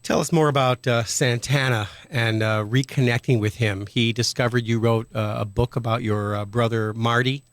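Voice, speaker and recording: A man's voice: mid-range voice, steady tone, moderate pace, few pauses, dry recording. Earnest male radio host. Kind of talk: radio interview.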